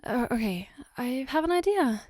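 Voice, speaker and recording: A woman playing a caring, flustered girlfriend in an ASMR roleplay, speaking gently and haltingly to comfort her partner. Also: high voice, lively intonation, no echo, studio quality. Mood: gentle, hesitant. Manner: hesitant, gentle, reassuring, halting. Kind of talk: ASMR roleplay, spoken to the listener.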